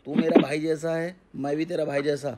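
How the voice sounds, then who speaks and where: A man's voice: a little high, level tone, brisk, crowd noise. A man speaking as a guru advising a caller in casual phone talk, giving instructions and often checking he is understood. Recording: phone call recording.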